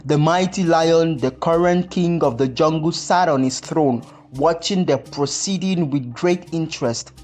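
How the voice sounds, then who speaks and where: Folktale narrator, scripted storybook delivery, medium pitch, music behind. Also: unhurried, hardly any pauses, darkish tone.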